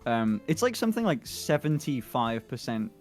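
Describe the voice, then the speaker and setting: A man's voice: mid-range voice, big pitch swings, normal speed, a little mellow. A man chatting casually as a guest on a livestream, rambling with a mid-range voice, laughing often and swearing freely. Faint music plays behind him. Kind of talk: casual chat.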